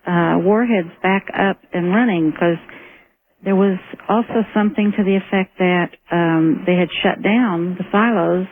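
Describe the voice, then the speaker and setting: A woman's voice: lowish voice, measured pace, a little hiss or hum. A woman phoning a radio show, speaking politely and a little hesitantly as she puts questions to the host. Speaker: phone-in caller. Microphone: telephone. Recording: narrow tinny phone line.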